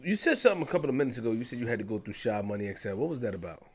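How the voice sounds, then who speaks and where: A man's voice: medium pitch, big pitch swings, rapid-fire, slightly rough. A man hosting a podcast, asking probing, rambling questions in a casual interview. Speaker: podcast host.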